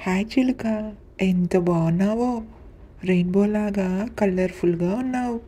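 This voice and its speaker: A woman voicing an insecure, admiring crow in a children's animated moral story. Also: medium pitch, normal speed, close to an everyday voice. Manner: admiring, questioning, wistful. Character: insecure, admiring crow who learns self-worth.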